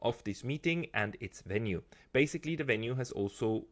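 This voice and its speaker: A man's voice: medium pitch, expressive, moderate pace, crowd noise. A man hosting political analysis, reading out a statement and commenting on it. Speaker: host and commentator.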